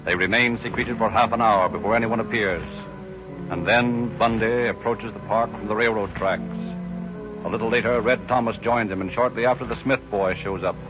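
An actor as a grave, formal American narrator, reading scripted 1930s radio crime narration. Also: male voice, fairly low pitch, steady tone, normal speed, over music, natural voice. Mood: suspenseful. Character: grave, formal male narrator of police story. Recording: dull old radio recording.

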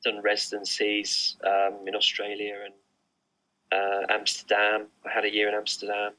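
A man's voice: fairly low pitch, completely flat delivery, normal speed, bright, forceful tone, low-quality recording. A middle-aged man, an artist, answering interview questions online in a casual, hesitant way with many fillers and restarts. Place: remote online recording.

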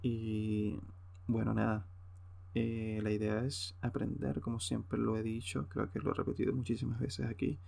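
A man's voice: on the low side, flat delivery, moderate pace, vocal fry, dark tone, no echo. A man with a Venezuelan accent talking off the cuff on a solo podcast, hesitant, informal and rambling. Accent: Venezuelan Spanish. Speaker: podcast host. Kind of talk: unscripted solo podcast monologue.

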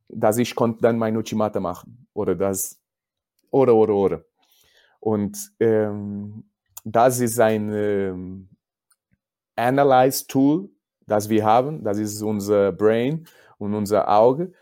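Slow, low-voiced Portuguese man, mellow tone. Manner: halting, searching for words in non-native German, repeats himself. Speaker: judo coach, interview guest. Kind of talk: casual podcast interview.

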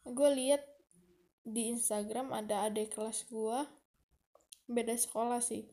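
A woman's voice: fairly high pitch, little pitch movement, a little slow, warm tone. A young woman from Bogor with a Jakarta slang accent, hosting a casual solo podcast and rambling to herself in a chatty way. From Bogor, Indonesia. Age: young adult. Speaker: podcast host.

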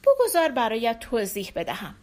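A woman voicing a calm husband who breaks bad news gently, in a soft, measured way. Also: a little high.

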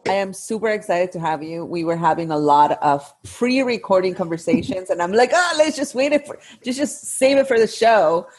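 A woman's voice: lowish voice, normal speed, hardly any pauses, a little hiss or hum. A woman in her thirties co-hosting a podcast, chatting casually and telling stories with lots of "like", slipping into Spanish now and then. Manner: animated storytelling with many "like"s, mixing in Spanish. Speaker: podcast co-host. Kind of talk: casual chat.

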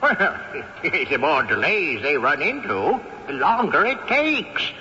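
Radio play: a crusty old ghost, slow and haughty, very bright tone, swooping pitch, echoey dull broadcast. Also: male voice, very animated, heavily acted. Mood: haughty drawl. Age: older. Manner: drawling, haughty, chuckling asides. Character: crusty old male ghost, wealthy great-grandfather. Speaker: ghost character. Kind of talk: 1970s radio drama acting.

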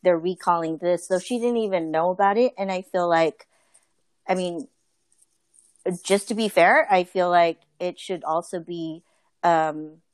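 A woman's voice: on the low side, unhurried, dry recording. A woman in a casual group conversation, talking earnestly with many fillers and restarts. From Filipino family.

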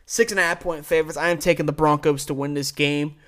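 A man's voice: on the high side, fairly even intonation, fast, fairly bright tone. A man hosting a live sports show, giving opinionated, conversational commentary on game picks and punching key words.

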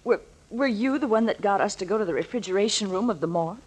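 A woman's voice: medium pitch, lively intonation, quick pace, a little mellow, husky. An actress playing a horrified, hesitant young woman in a 1940s radio thriller. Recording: old scratchy 1940s radio recording.

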